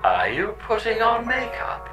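An actor performs a sardonic talking space pod from a sci-fi audio comedy. It bickers in a deadpan, sarcastic way while its pitch swings dramatically. Music plays clearly behind the voice. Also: measured pace. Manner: sarcastic, deadpan, third-person self-reference.

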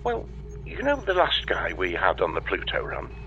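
A man's voice: deep voice, wildly swooping pitch, normal speed, lo-fi sound, a little hiss or hum. A man playing an evasive, breezily unhelpful space-agency dispatcher in a comedy audio drama, talking over a radio link. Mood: sheepish, brisk. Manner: breezy, evasive, hesitant when cornered.

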